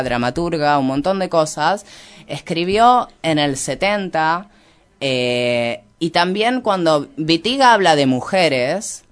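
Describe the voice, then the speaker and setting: Clear-voiced, reflective Argentine man. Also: on the high side, a bit bright. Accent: Argentine Spanish. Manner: hesitant, reflective, self-correcting. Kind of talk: informal intellectual discussion.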